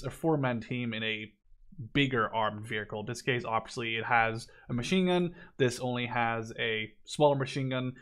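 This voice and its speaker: A man in his twenties giving casual game commentary in a rambling, conversational, opinionated way. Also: on the high side. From Netherlands. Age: 20s. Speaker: gaming video commentator.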